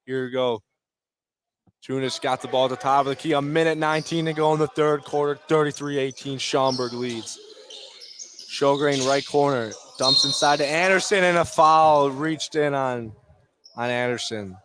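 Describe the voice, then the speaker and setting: A man's voice: mid-range voice, moderate pace, a bit bright. A man doing live basketball play-by-play on radio, calling the action in clipped short phrases. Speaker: play-by-play announcer. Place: indoor high school basketball gym. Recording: noisy gym ambience, bouncing balls. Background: game noise, basketball bounces.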